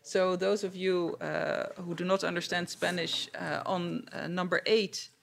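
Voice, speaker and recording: Dutch woman moderating, gravelly creaky voice. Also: on the low side, level tone. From Netherlands. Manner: halting, hesitant phrasing. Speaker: panel moderator. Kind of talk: moderating a public panel discussion.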